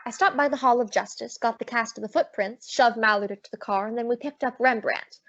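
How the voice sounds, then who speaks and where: A woman playing a sassy, quick-witted female private detective in an old-time radio drama, wry and brisk. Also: a little high, fairly bright tone, slight background noise. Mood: wry narration. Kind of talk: old-time radio drama acting with first-person narration. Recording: dull lo-fi audio.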